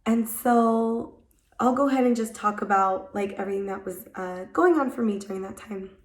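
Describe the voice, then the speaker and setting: A young woman vlogging in a casual, rambling way, her voice hoarse from a cold and just coming back. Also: medium pitch, normal speed, roomy sound. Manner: chatty, rambling, many "like" fillers. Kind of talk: casual chat to camera. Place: at home.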